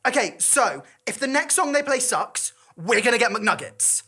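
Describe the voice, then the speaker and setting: A woman's voice: on the high side, sing-song, normal speed, sharp tone, slightly rough, pitched above the performer's own voice, heavily acted. Woman doing a whiny, bored twenty-something guy. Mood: impatient. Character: bored, fussy man in his early twenties.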